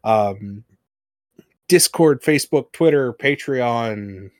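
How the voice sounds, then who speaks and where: Male podcast host, mid-pitched voice, dry close sound, easygoing. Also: animated, slow, no echo. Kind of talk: casual podcast chat.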